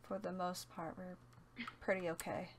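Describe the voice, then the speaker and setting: A woman's voice: on the low side, flat delivery, normal speed, lightly acted. A woman playing a reserved, weary apocalypse survivor with terse, hesitant delivery in an amateur roleplay, with faint noise. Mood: weary, subdued.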